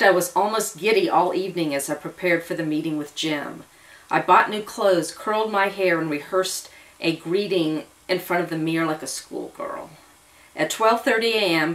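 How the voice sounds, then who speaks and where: A woman storyteller narrates in the first person. Her voice sits a little low, and she reads the tale as drama. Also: a bit bright, rough recording. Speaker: storyteller reading own serial story.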